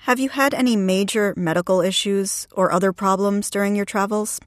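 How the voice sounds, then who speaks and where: A woman with a medium-pitched voice acts as interviewer in a scripted listening exercise. The recording is close and dry, free of room echo. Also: steady tone, brisk.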